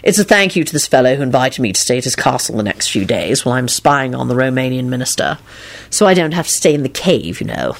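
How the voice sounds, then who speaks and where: Audiobook wizard man, played by a woman: calm, casually morbid, world-weary, low register. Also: fast, vocal fry, full character voice. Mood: calm, apologetic. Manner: reasonable, casually morbid, apologetic.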